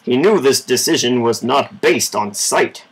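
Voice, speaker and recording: Man for children's story narration, amateur and informal; unhurried pace, fry-edged voice. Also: medium pitch, lively intonation, poor audio. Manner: informal, measured amateur reading. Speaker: storybook reader. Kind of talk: reading a children's story aloud.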